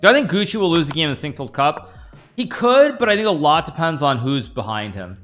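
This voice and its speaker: A man's voice: a little high, sing-song, fast talker, a bit bright, faint music behind. An American man in his thirties, streaming a chess game, thinking aloud and answering chat questions in a casual, rambling way. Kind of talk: casual live-stream commentary.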